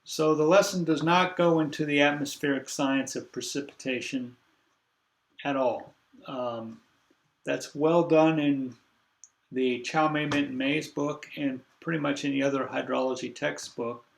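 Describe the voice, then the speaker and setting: An older man lecturing a university class over a video call, congested, halting and full of fillers as he thinks aloud. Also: fairly high pitch, fairly even intonation, vocal fry, echoey room.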